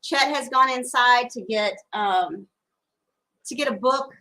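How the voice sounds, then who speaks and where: A woman hosting a casual live-stream chat and reading viewer comments. Her voice sits fairly high, and she rambles along with plenty of fillers. She is recorded on a phone camera outdoors on a porch during a storm. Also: takes it slowly, pushed and bright, low-quality recording. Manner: chatty, rambling with many fillers. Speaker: live show co-host.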